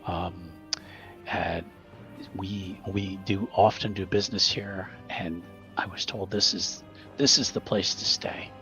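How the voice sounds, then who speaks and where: Man playing fawning, low-voiced humble traveling servant. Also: steady tone, takes it slowly, bright, forceful tone, soft background music, heavily acted, low-quality recording. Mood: smooth, ingratiating. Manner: fawning, polite, repeats himself. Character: humble, effusively grateful male traveling servant, smooth-talking deceiver.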